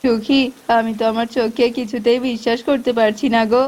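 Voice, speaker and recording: Lady doing a nice polite bird guy, cartoon, highish earnest voice. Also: steady tone, a little fast, darkish tone, close to an everyday voice. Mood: amazed.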